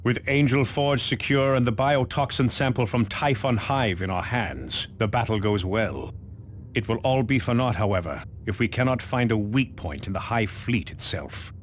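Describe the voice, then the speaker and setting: An actor playing a solemn space marine leader in a video game, briefing in a stern, formal, oratorical way. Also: male voice, medium pitch, a little hiss or hum, natural voice. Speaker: commander giving mission briefings.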